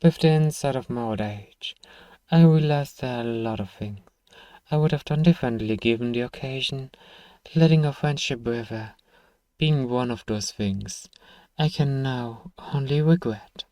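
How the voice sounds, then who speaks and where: Mellow-voiced man in fantasy ASMR roleplay. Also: mid-range voice, dry recording. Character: gruff but soft-hearted apothecary, reading a letter aloud, exasperated. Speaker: audio roleplay performer. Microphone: close, dry microphone.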